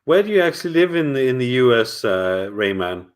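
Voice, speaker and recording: A man's voice: mid-range voice, sing-song, fairly quick. A middle-aged man chatting casually at home on a live stream, answering viewers and talking in a hesitant, rambling way with lots of fillers and restarts. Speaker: channel host answering live chat.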